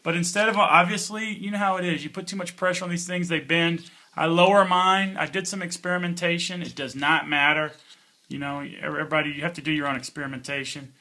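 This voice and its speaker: Bright-voiced man doing a casual gear review. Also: fairly high pitch, steady tone, fairly quick. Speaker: product reviewer, channel host.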